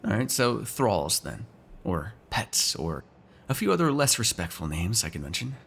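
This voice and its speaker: A man's voice: on the low side, very animated, normal speed, creaky, a bit bright, breathy edge, full character voice. A man playing a sardonic, teasing, foul-mouthed vampire in an ASMR roleplay, talking casually to one listener. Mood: explaining, sardonic. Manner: casual, teasing, sarcastic, swears freely.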